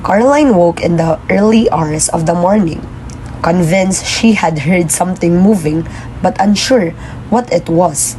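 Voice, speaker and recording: A woman's voice: lowish voice, measured pace, mellow, slight background noise, poor audio. A woman narrating a scripted puppet show story.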